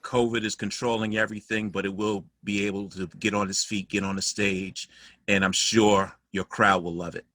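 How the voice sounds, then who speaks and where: A middle-aged man, an actor moderating a casual panel over a video call in measured, slightly formal wording. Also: fairly low pitch, little pitch movement, bright, forceful tone, no echo.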